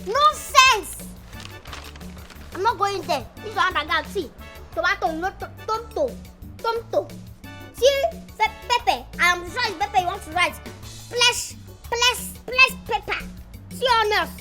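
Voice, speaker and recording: A woman's voice: very high voice, lively intonation, unhurried, sharp tone, soft background music, heavily acted. A woman playing a cheeky young house help who talks back, with a Nigerian accent. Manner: sassy, talks back, stumbles over words.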